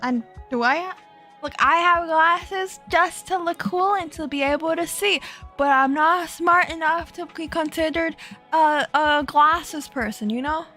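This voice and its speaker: A woman's voice: extremely high pitch, unhurried, sharp tone, soft background music. A young woman streamer from Florida chatting casually with her viewers, bubbly and giggly, self-deprecating and easily distracted.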